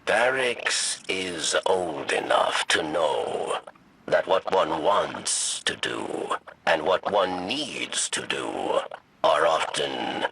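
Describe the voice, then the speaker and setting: An actor playing an old, weary, sly insect-like alien scribe in a video game, rasping and halting, with questioning tags. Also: male voice, a bass voice, lively intonation, pushed and bright, a little hiss or hum, heavily acted, poor audio. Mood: solemn regret. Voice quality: gravelly, rasping. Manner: halting, third-person speech, questioning "yes?" tags. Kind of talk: dramatic video game acting.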